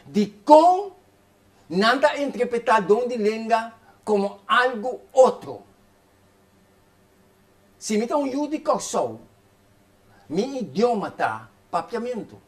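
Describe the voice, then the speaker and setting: A man with a notably high voice preaching with fervor. His sermon runs quick and insistent, circling back to the same phrases over and over. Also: very animated. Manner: fervent, rapid preaching with repeated phrases.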